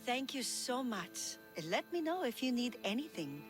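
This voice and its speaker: An actress playing a flattering general's wife in an ancient Greek video game, gushing and coaxing as she talks. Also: fairly high pitch, unhurried. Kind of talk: scripted video game acting. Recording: music behind the voice.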